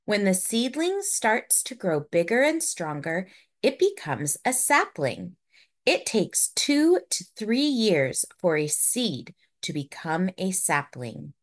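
A woman narrates a children's picture book at a slow, careful pace. Her mid-pitched voice swings expressively up and down. She sounds like a storyteller spelling things out for young children. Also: very animated.

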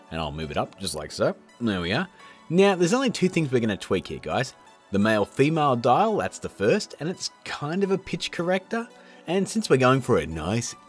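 A man in his thirties hosts a tutorial with a lot of swing in his pitch. He is chatty and jokey, keeping it informal, with music playing underneath. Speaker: host of an effects tutorial channel. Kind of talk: casual tutorial presenting.

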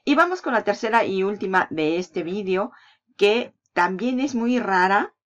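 A woman's voice: medium pitch, lively intonation, measured pace. A woman with a Peninsular Spanish accent hosting a casual screen-recording walkthrough, chatty and upbeat, laughing between points. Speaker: affiliate marketing channel host. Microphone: voice-over on a screen recording. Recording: slightly dull lo-fi voice-over.

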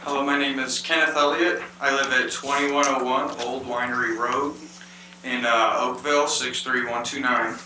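A man's voice: mid-range voice, fairly even intonation, moderate pace. A man in his thirties, a local resident, giving hesitant public comment at a council meeting with many um fillers. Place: large council chamber. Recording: very echoey chamber, faint noise.

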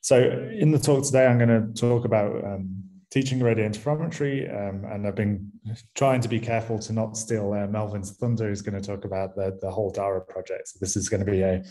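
A man with a Manchester-area English accent gives a conference talk from a small room over a webcam. His voice sits low and sounds mellow, and his delivery is relaxed, full of ums and small restarts. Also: a little fast, low-quality recording. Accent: Mancunian English. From England, near Manchester. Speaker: university lecturer.

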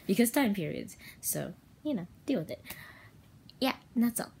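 A woman's voice: medium pitch, very animated, unhurried, gravelly, darkish tone, slight background noise. A young woman chatting casually to camera at home, as a video creator. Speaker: video creator dubbing fan comics. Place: small room at home, indoors.

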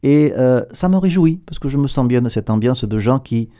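A middle-aged man with a southern French accent, a company director giving an animated, hesitant radio studio interview with repeated words. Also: mid-range voice, moderate pace. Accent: southern French, Provençal. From southern France. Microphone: table mic with headphones.

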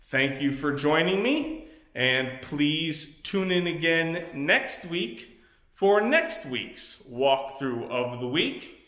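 American male realtor, 30s, halting off-the-cuff house tour delivery, bright forward voice, roomy echo. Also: a little high, expressive. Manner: halting, repeats phrases as he explains. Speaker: real estate agent hosting walkthrough videos. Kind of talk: unscripted property walkthrough commentary to camera. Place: empty rooms of a large house, indoors. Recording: echoey bare rooms, music behind.

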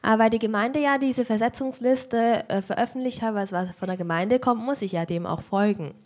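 A woman's voice: fairly high pitch, a little fast. A young woman interpreting a sermon into German at a lectern, speaking into a handheld microphone in a church hall. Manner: steady rendering with occasional fillers.

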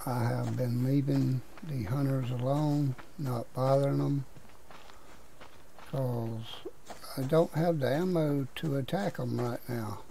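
A man's voice: mid-range voice, slow, vocal fry, slight background noise, rough recording. A man with a Southern US accent giving casual, folksy commentary over a survival game he is playing. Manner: relaxed, folksy drawl with regional words. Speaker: gaming YouTuber.

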